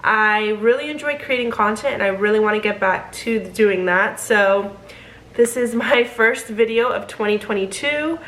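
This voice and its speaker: A woman's voice: on the high side, normal speed, fairly bright tone, roomy sound, a little hiss or hum, low-quality recording. A young woman, a flight attendant, chatting casually to camera with a stuffy nose as she gets over being sick, with lots of fillers and restarts. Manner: chatty, filler-heavy, self-correcting. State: stuffy, getting over illness.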